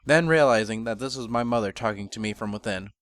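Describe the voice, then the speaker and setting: A man reading a first-person fantasy story as narrator, in a measured, bookish dramatic reading. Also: on the low side, fairly bright tone, no room sound, studio quality.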